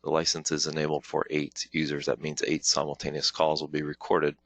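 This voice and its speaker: A man presenting an informal software walkthrough as a webinar trainer, explaining step by step in a halting way with frequent pauses. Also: very deep voice, completely flat delivery, no room sound. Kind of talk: informal software tutorial, live demonstration walkthrough.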